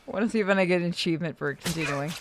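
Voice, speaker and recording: A woman's voice: fairly low pitch, racing, a little hiss or hum. A woman playing a video game and commenting casually with friends, joking sarcastically and swearing a little. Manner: sarcastic, joking, mildly profane.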